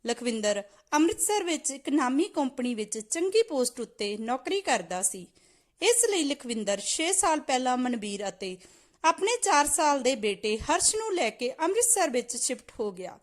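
Female storyteller, high voice with lively swings, reading scripted family tales. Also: normal speed. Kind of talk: scripted moral story narration.

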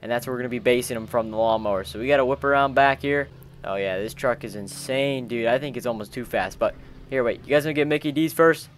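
A man's voice: medium pitch, fast talker, a little hiss or hum. A man hosting a gaming video, chatting in informal slang as he roleplays and comments on the game with friends. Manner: chatty, informal, peppered with bro-style slang.

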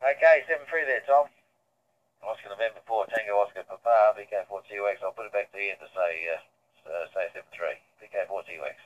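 A man's voice: on the low side, fast. Man chatting on muffled radio. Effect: two-way digital radio. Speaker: amateur radio operator. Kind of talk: casual radio conversation. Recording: muffled radio speaker picked up indoors.

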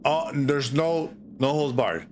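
A middle-aged man hosting a livestream, chatting casually and reading a horror story in a drawn-out, theatrical way with pauses. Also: a little high, slight background noise. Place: indoor streaming setup. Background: music.

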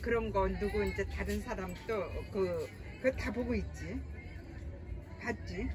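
A woman's voice: medium pitch, measured pace. A woman who is a native Korean speaker, chatting casually in a market shop. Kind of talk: casual chat. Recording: loud music over distant voice.

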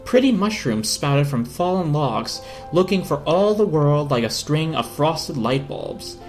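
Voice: medium pitch, big pitch swings, a little slow, soft background music. A narrator giving a dramatic reading of a storybook. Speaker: narrator, reader.

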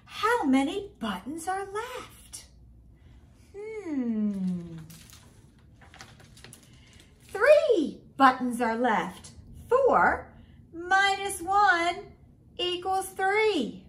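A middle-aged woman reading a picture book aloud to children in an expressive, deliberate way. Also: very high-pitched, slight background noise. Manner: expressive, slow and deliberate for children, with sing-song refrains. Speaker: school principal, guest story reader. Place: small home room, indoors.

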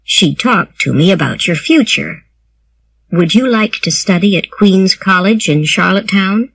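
A woman's voice: mid-range voice, expressive, measured pace, vocal fry, breathy edge, heavily acted, poor audio. A woman voicing a stern older woman guardian in a storybook reading, plain and matter-of-fact. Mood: firm.

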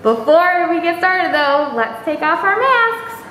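A young woman with a very high, swooping voice leads a storytime for small children. She speaks in an exaggerated, encouraging manner, often putting questions to her young listeners. The room around her sounds echoey. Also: sing-song, slow pace, a bit bright. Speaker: library storytime host. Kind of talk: children's storytime read-aloud, chatting and singing to young viewers. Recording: echoey room with faint background noise.

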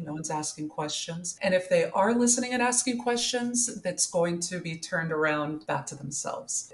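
Loud middle-aged lady cracking jokes, deep-ish voice, light hum behind her. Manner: loud, jokey, laughs often. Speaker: guest, platonic touch practitioner. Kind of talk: casual interview chat.

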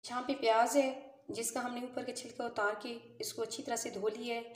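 Cooking host: woman, calm and methodical, slightly high voice, recorded in an echoey home kitchen. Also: fairly quick. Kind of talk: step-by-step recipe voice-over.

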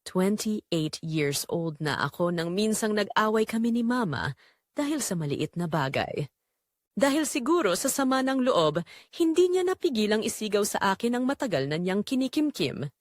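A woman's voice: medium pitch, sing-song, moderate pace, no echo, clean studio sound. A woman with a Filipino accent narrating a scripted audio drama in the first person, in a dramatic, confessional way. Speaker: narrator telling the story as its main character.

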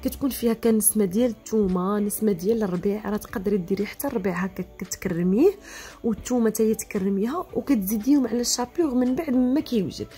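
A woman's voice: mid-range voice, rapid-fire, warm tone. A young woman with a Moroccan Arabic accent hosting a cooking tutorial, chatty and practical, talking straight to viewers. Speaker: cooking channel host.

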